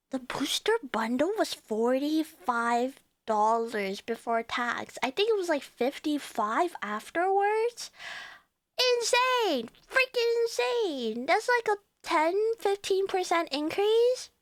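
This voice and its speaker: A young woman with a Cantonese accent chats with her viewers in a high, lively voice that swoops up and down. She giggles often and talks in a chatty, animated way. The sound is dry and close to the mic. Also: slow, no echo. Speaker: livestreamer. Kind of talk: casual chat with viewers.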